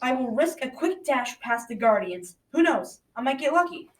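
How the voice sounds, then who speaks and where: A woman's voice: sits high, lively intonation, moderate pace, far from the mic. A young girl playing a loyal, daring young hero in a school radio drama, with earnest, declamatory delivery. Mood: distressed, resolute. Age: child around 12 to 14. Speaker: student actor. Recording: echoey room, off the mic.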